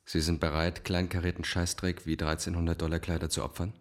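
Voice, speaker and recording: An actor playing a controlled, manipulative psychiatrist, probing and commanding, in a radio thriller. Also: male voice, low voice, little pitch movement, fairly quick, lightly acted. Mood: challenging. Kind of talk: German radio thriller acting.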